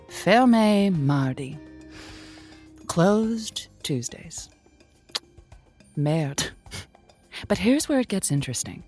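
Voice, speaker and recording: Animated low-voiced romantic radio hostess. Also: female voice. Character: lively, romantic woman hosting a lonely-hearts radio call-in show. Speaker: radio host. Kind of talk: audio drama acting, late-night radio host patter.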